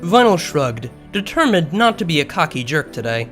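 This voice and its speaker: A man reading scripted fiction as an expressive narrator, audiobook style. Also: fairly high pitch, normal speed. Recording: music behind the voice.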